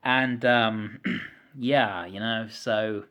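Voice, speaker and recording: A man in his thirties rants to his camera in a rambling, frustrated monologue. He repeats words, swears freely and often clears his throat. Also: on the low side, bright, forceful tone. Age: 30s. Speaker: vlogger.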